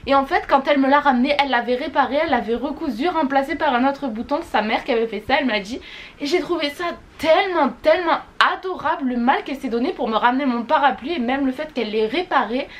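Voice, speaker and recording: A woman's voice: sits high, quick pace. A young woman vlogging a casual monthly recap, gushing and repeating words for emphasis. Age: young adult. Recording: faint background noise.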